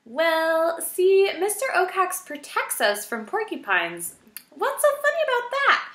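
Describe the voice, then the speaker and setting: Woman as a timid high-pitched little mouse girl. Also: big pitch swings, measured pace, fairly bright tone, reverberant, full character voice. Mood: earnest. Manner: stammering, pleading. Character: small timid female mouse, fearful, pleading, earnest. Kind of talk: children's storybook character voice.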